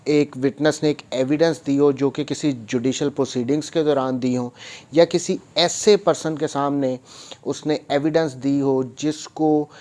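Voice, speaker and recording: A man's voice: a little high, a little mellow. A man giving an explanatory law lesson as a lecturer, mixing English terms and phrases into his speech. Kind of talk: explanatory law lesson, reading statute then explaining with examples.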